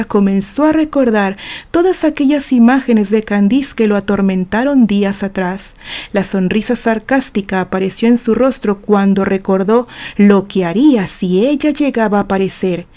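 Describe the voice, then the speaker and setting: A woman with a Mexican accent narrating a dramatic romance story in an expressive, theatrical storytelling manner. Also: mid-range voice. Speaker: author narrating own story.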